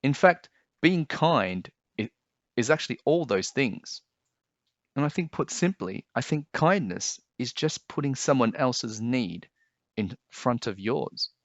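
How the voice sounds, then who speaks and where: A man reading a picture book aloud and then talking to children about it, explaining things gently and simply. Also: medium pitch, expressive, takes it slowly, dry recording, lo-fi sound.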